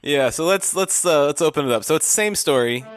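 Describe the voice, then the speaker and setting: A man's voice: on the high side, animated, fairly quick, no echo, soft background music. A man hosting a podcast, chatting casually and sardonically with mock quotes. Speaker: podcast host. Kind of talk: casual joking chat.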